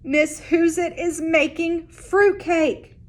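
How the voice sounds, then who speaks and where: A woman plays a young storybook boy who dreads every treat. The voice is high and slow, with dramatic, drawn-out reactions pitched for children. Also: fairly even intonation. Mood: alarmed.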